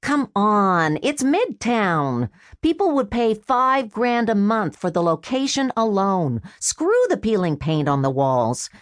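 A woman's voice: mid-range voice, very animated, slow, dry recording, clean studio sound, pitched above the performer's own voice. A woman voicing a feckless grown man who gambles, talking in a nervous, pleading way. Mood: wheedling.

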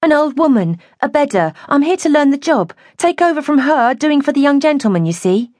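A woman acts out a sixteen-year-old working-class girl from a storybook. She is nervous yet plucky, quick to get flustered, and heard in a dry, close recording. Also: sits high, lively intonation, warm tone, no echo, pitched above the performer's own voice, exaggerated voice. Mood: nervous, rushed. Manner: flustered, rushing her words. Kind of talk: audiobook character dialogue.

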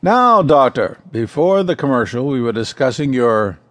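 An actor playing a polished, skeptical television interviewer in a radio drama, with a smooth, formal broadcast delivery. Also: male voice, mid-range voice, very animated, normal speed, a bit bright, slight background noise. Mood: polished, probing. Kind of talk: radio drama acting of a talk show.

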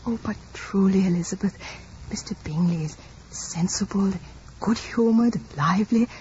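An actress playing a sweet, modest, smitten young gentlewoman in a period radio drama, gentle and demure. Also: medium pitch, moderate pace, slight background noise, natural voice. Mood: tender. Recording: dull radio recording with faint music.

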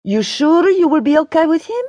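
A woman's voice: sits high, big pitch swings, normal speed, mellow, no echo, muffled recording, voice pushed up. A woman voicing an earnest, severe foreign mother in an audiobook, with a foreign accent and clipped, formal non-native phrasing. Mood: flustered.

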